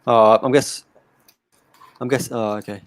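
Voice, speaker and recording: Guy hosting a live stream, reading out chat comments with shout-outs, dry close sound. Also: mid-range voice, steady tone, measured pace, no room sound. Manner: reads out viewer comments and gives shout-outs. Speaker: host. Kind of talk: casual chat.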